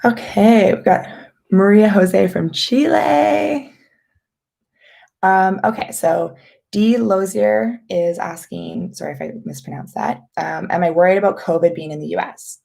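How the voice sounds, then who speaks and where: A young woman from Canada with a raspy voice, chatting casually on a live stream as she answers viewer questions, with many ums and restarts. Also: medium pitch, a little slow, dark tone. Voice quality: raspy, gravelly voice. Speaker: vlogger hosting a live Q&A.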